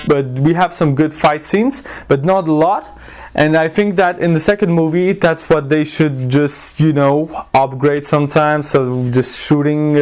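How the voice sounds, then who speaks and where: A man's voice: a little high, darkish tone. A man giving a casual movie review to his viewers, talking informally and often cutting himself off as he rambles. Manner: rambling, self-interrupting, informal. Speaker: YouTube film reviewer and channel host.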